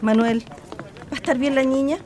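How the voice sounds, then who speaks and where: A woman's voice: high voice, normal speed, noisy background, lightly acted. A woman playing a caring, anxious mother in a radio drama. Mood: concerned.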